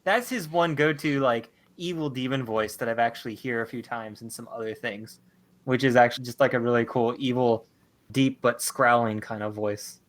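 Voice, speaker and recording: A man's voice: mid-range voice, moderate pace. A man co-hosting a podcast, chatting casually in a rambling, enthusiastic fan's way. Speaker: podcast co-host.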